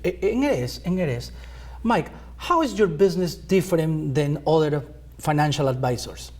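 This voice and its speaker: A man hosting a talk show from a school TV studio, asking questions haltingly and repeating words. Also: on the high side, lively intonation, a little mellow. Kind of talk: interviewing a guest.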